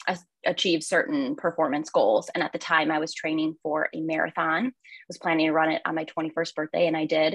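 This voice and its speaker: A woman's voice: sits low, no room sound. A young woman who coaches nutrition, speaking as a podcast guest in a friendly, thoughtful way with many fillers. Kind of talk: casual podcast interview.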